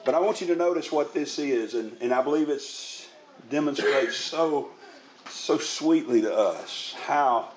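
A man's voice: on the high side, measured pace, slight background noise. A man preaching to a congregation in a folksy, conversational storytelling way, repeating phrases for emphasis. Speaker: Primitive Baptist preacher and pastor. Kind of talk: sermon to a congregation. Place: indoors, church meeting room. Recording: roomy, echoing meeting-hall sound.

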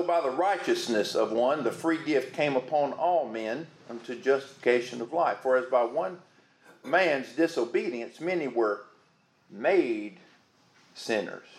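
A man preaching a sermon in a booming voice, folksy and calling out to the congregation. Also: lively intonation, measured pace, reverberant. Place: church.

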